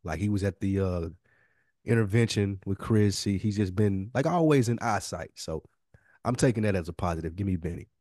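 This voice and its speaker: A man's voice: sits low, brisk, a little mellow, no echo, studio quality. A man co-hosting a podcast, talking informally as he thinks aloud. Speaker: podcast co-host. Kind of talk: casual chat.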